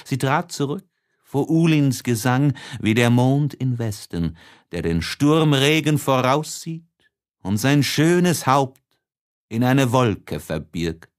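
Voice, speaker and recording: Slow, solemn male audiobook narrator. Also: mid-range voice, expressive. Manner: elegiac, declamatory. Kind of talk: solemn literary reading.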